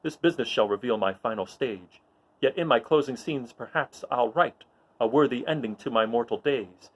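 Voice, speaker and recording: A man voicing a solemn, weary but hopeful old mentor in a measured Shakespearean storybook reading. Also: mid-range voice, fairly bright tone, lightly acted. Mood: solemn, resolved.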